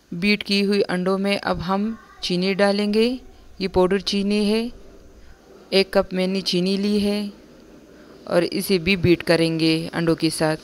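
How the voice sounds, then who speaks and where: A young woman host giving a calm, step-by-step recipe voice-over for her home cooking channel. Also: mid-range voice, rough recording. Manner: calm, instructive, repeats steps plainly.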